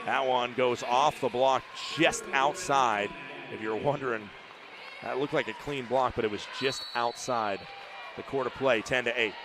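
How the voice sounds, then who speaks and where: A man calls a college volleyball match play by play in a voice of middling pitch. He shouts as big plays land. The sound is noisy, with gym ambience and ball bounces behind him. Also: fairly even intonation, measured pace. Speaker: play-by-play commentator. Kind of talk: live volleyball play-by-play commentary.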